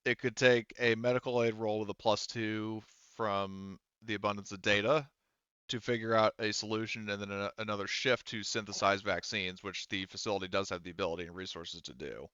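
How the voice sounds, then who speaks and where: A man running a tabletop roleplaying game as game master, explaining the scene in halting, unscripted narration. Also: fairly low pitch, fairly even intonation, fairly bright tone, clear, resonant tone, dry recording, muffled recording.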